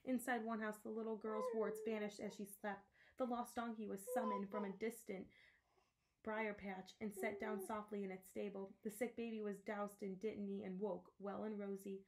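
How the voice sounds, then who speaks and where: Expressive woman reading a story aloud. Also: medium pitch, normal speed. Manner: dramatic storytelling delivery.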